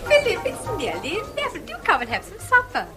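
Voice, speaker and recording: An actress playing a sociable middle-aged English wife and mother in a period radio drama, smoothing things over. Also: very high-pitched, huge pitch swings, brisk, pushed and bright, soft background music, heavily acted. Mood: cheerful, coaxing. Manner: coaxing, placating.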